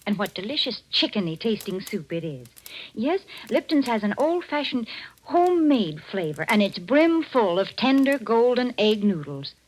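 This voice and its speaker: A woman announcer delivering a folksy, enthusiastic sponsor pitch on a vintage 1940s radio broadcast recording. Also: very animated, normal speed, a little mellow, poor audio.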